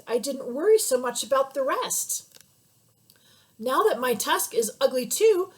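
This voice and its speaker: A woman voicing a gloomy old male rhinoceros who weeps over his yellowed tusk, speaking loudly and mournfully. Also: close to an everyday voice. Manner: loud, deep-acted, weepy grumbling.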